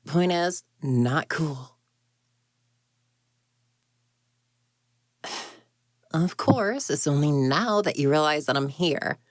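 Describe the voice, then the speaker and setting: A woman's voice: sits low, animated, slow, no echo, studio quality. A woman playing an exasperated ghost girl in an audio roleplay, huffy and indignant, often muttering to herself. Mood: indignant scolding. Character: grumpy, exasperated tsundere ghost girl haunting a house.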